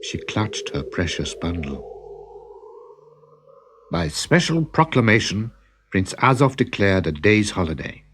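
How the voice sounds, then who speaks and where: A man narrating a children's story in a dramatic, deliberate way, with expressive swells and hushes. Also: lowish voice. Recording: music behind the voice.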